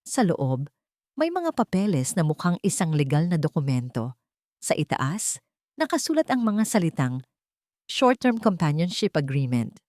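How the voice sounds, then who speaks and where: Low-pitched woman narrating a dramatic story. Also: very animated, moderate pace, mellow, no room sound, clean studio sound.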